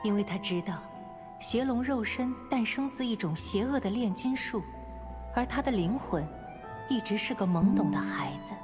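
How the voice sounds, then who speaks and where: An actress narrating a fairy tale as a gentle storybook narrator in a video game. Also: female voice, a little high, lively intonation, moderate pace, over music, lightly acted. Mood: storytelling.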